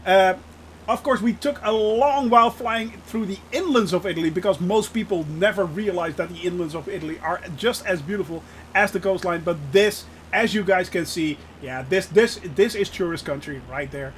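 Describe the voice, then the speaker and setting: High-voiced Dutch man streaming. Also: normal speed, a little hiss or hum, low-quality recording. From Netherlands. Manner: chatty, laughs often, replies to chat. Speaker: flight sim streamer. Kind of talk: casual live-stream commentary.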